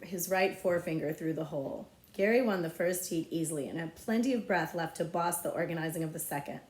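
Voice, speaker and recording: A woman narrating a children's novel aloud as a librarian would, with expressive storytelling. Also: on the low side, fairly quick. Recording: echoey, roomy sound.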